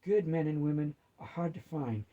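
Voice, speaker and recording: A man's voice: a little high, lively intonation, mellow, lo-fi sound, close to an everyday voice. A man voicing a wise, loving old grandmother who speaks firmly and emphatically. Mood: wise, warm. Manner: emphatic, firm, drawn-out delivery.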